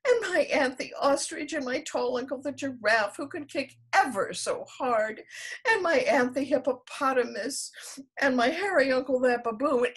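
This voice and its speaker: A woman's voice: high voice, breathy edge, pitched above the performer's own voice, exaggerated voice. A woman voicing a young male elephant child in a storybook, very polite, earnest and curious.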